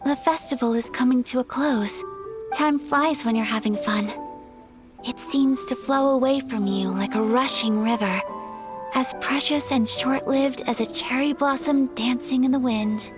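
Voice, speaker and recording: High-voiced gracious young lady of rank, soft and formal, music under her. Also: unhurried. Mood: wistful. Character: gentle, refined young noblewoman, polite and earnest. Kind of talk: game voice acting. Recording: game audio with music underneath.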